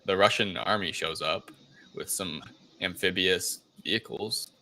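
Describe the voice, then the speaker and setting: A man hosting a casual film discussion, recapping the plot in a loose, halting way with plenty of filler phrasing. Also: sits low, sharp tone, a little hiss or hum, low-quality recording. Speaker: podcast host recapping a film.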